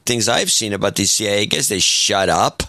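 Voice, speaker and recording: A man's voice: fairly low pitch, animated, moderate pace, pushed and bright, no echo, clean studio sound. A man co-hosting a podcast in casual chat, blunt and opinionated, often breaking off and restarting. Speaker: podcast co-host.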